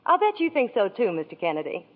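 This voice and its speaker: A gushing radio fashion reporter. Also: female voice, mid-range voice, huge pitch swings, fast talker. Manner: gushing, chatty. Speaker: Hollywood reporter in intermission segment. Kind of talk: scripted 1940s radio promo. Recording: echoey lo-fi 1940s radio.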